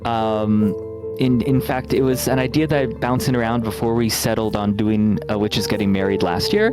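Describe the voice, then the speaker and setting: A man speaking as a game developer in a casual interview, explaining things in a thoughtful, measured way. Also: on the low side, fairly even intonation. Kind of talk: casual interview answers over a game stream. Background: guitar music.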